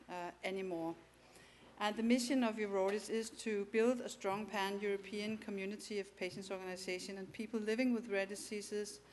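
A woman's voice: medium pitch, slight background noise. A woman with a Danish accent, a native Danish speaker, giving a conference presentation in a hesitant way, with many fillers and restarts. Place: conference hall.

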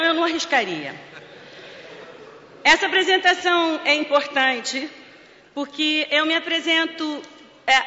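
A woman's voice: sits high, pushed and bright. A woman with a Brazilian accent, a charity president and clown, giving a joking, emotional award acceptance speech. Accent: Brazilian Portuguese. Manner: emotional, joking, fighting back tears. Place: large parliament hall. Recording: lo-fi with long hall reverb.

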